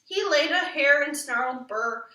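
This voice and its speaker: A woman's voice: sits high, animated, measured pace, bright, forceful tone. A woman narrating a children's story expressively, as a storybook reader. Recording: echoey room, distant microphone.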